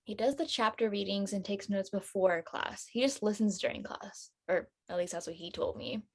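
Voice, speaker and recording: A woman acting a friendly, anxious and studious high school girl in a casual dramatic read. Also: normal speed, close to an everyday voice. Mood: concerned. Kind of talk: online dramatic table read.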